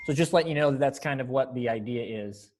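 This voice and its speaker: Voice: mid-range voice, fast, a little mellow. An upbeat, encouraging person chatting casually while organizing a group rehearsal over a video call. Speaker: event organizer running a readers theater rehearsal.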